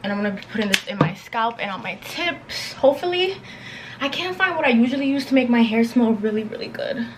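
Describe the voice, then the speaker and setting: High-voiced young woman chatting, noisy room. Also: animated, brisk. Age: young adult, around twenties. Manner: slangy, rambling, filler-heavy with frequent "like". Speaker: beauty and lifestyle vlogger. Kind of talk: casual chatty get-ready-with-me vlog. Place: bedroom at home, indoors.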